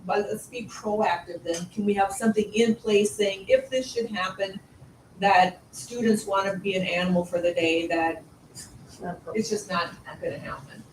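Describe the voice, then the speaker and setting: A woman's voice: mid-range voice, steady tone, normal speed. A woman school board member raising questions and proposals informally at a public meeting. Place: indoor meeting room. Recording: echoey room, distant mic, faint noise.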